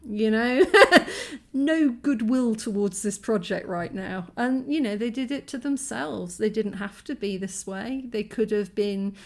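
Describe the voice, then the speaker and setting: A woman vlogging to camera, exhausted from lack of sleep, her voice croaky and strained as she rambles in frustration with many ums and restarts. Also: a little high, measured pace, darkish tone. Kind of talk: candid talking-head vlog.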